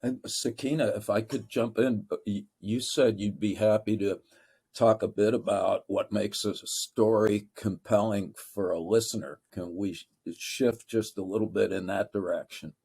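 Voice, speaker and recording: A man with a gravelly, husky voice moderating a discussion. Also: lowish voice, fairly even intonation, a little slow, a little mellow. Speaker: panel moderator.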